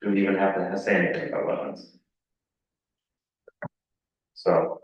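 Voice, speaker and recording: A very echoey, distant recording of an American man with a low voice. He gives plain-spoken, halting public comment as a town resident. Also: flat delivery. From Connecticut, United States. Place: indoor public meeting room. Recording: very echoey meeting room, distant muffled mic.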